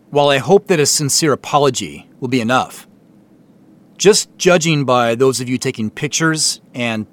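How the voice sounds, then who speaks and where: An actor playing a contrite middle-aged company founder and CEO, speaking in a measured, formal way with deliberate pauses in an audio drama. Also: male voice, mid-range voice, natural voice. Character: earnest, contrite male company founder and CEO addressing staff. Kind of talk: naturalistic audio drama acting.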